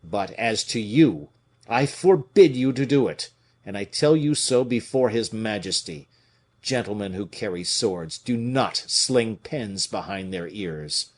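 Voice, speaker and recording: Man voicing a fiery, forceful old musketeer. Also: lowish voice, lively intonation, a little slow. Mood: outraged, scornful. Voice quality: rough voice. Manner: forceful, scornful, declamatory. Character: fiery, indignant veteran musketeer, gruff old soldier. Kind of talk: dramatic audiobook character reading.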